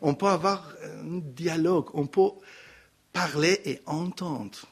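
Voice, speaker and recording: Middle-aged Iranian man, Persian accent, halting testimony, fairly high pitch, handheld mic. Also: slightly rough. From Iran. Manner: halting, searching for words, many restarts. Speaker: missionary giving testimony. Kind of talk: personal testimony to a congregation. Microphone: handheld microphone.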